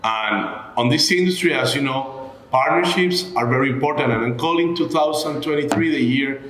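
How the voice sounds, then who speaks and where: A man hosting a conversational interview, phrasing things in a halting, deliberate way. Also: medium pitch, a bit bright. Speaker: show host. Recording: echoey room.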